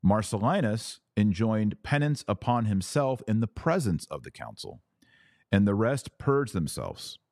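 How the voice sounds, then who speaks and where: A man hosting a religious commentary podcast, reading passages aloud and speaking in deliberate, emphatic phrases with rhetorical questions. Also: lowish voice, big pitch swings, a little mellow, no echo, clean studio sound. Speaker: podcast host and author.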